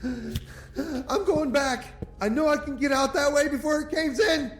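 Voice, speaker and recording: A man's voice: very high voice, a little slow. A man acting in an audio drama as an anxious townsman who presses with skeptical questions and is easily rattled. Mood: panicked. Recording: echoey tunnel-like reverb with music.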